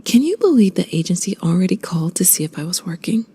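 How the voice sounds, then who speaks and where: An actress playing a weary, sardonic middle-aged woman writer in a video game, with a husky, breathy voice and a dry manner. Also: lowish voice, very animated, fast talker, warm tone, exaggerated voice. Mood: tender, tired. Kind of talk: naturalistic video game acting.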